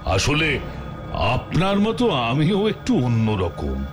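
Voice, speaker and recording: A man voicing a mysterious, calm and knowing stranger in a Bengali cartoon, theatrical and teasing. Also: medium pitch, slow. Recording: music behind the voice.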